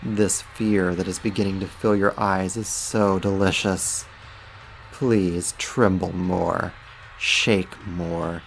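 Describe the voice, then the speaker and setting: ASMR roleplay archdemon, male performer: low-voiced, measured, mocking, menacing, dominant. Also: fairly even intonation, creaky, slight background noise, heavily acted. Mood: menacing, relishing. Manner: theatrical, taunting, slow and deliberate.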